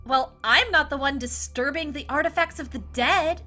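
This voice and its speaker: A woman's voice: high voice, moderate pace, bright, forceful tone, soft background music. A woman playing a spooked, snappish young girlfriend who complains dramatically in a cheesy horror audio drama. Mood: snide, angry.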